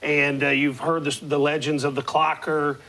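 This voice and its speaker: A man in his forties, somewhat higher-pitched than most, hosts a sports talk show in a TV studio. His voice is a bit hoarse, as though he is losing it, and he asks long, rambling questions into a lapel mic. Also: flat delivery, normal speed, bright, forceful tone, rough recording. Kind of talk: studio interview. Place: TV studio with city skyline backdrop.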